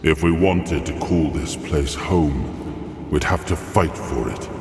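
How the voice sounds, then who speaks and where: An ancient, weary king from a fantasy game cutscene, brooding and solemn. His voice sits extremely low and moves slowly. It rings in a long hall reverb. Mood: solemn, proud. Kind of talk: dramatic video game cutscene acting and narration. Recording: echoing hall reverb under prominent music. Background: music.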